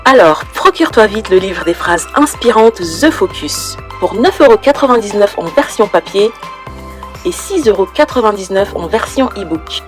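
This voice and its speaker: Woman reading a smooth promo spot. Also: mid-range voice, animated, normal speed, soft background music. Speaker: ad voice-over. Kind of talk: scripted promotional read.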